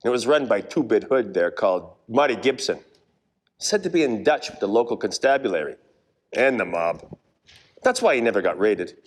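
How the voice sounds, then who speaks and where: An actor playing a wry, world-weary 1940s private detective in a parody of old radio noir. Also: male voice, on the low side, lively intonation, normal speed. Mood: brooding narration. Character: wisecracking hard-boiled 1940s male private detective. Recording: echoey stage or hall reverb.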